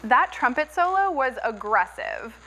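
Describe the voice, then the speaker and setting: A quick-witted young cohost, played by a woman, speaks in a high, bright voice. Her pitch is lively and moves a lot. She makes sarcastic quips. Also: heavily acted. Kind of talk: fan radio drama.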